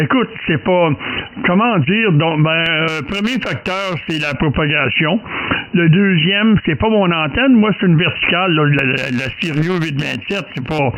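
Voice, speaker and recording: A man with a fairly high voice talks on an amateur radio link. He jokes around and keeps stopping to restart his sentences. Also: a bit bright, slight background noise, low-quality recording. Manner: hesitant, joking, many restarts. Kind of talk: casual radio chat.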